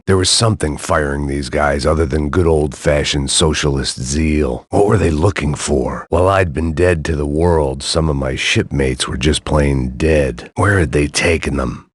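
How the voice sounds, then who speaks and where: A man playing a jaded, middle-aged American action hero, narrating a noir video game in a gravelly, sardonic deadpan. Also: very deep voice, dry recording. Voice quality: gravelly, husky. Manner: world-weary, sardonic, deadpan. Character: jaded hard-drinking middle-aged male action hero, cynical and bitter.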